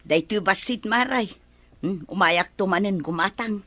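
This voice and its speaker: A woman's voice: medium pitch, unhurried, a bit bright, no echo. An actress with an Ilocano accent playing an exasperated, complaining village woman in a comedy radio drama.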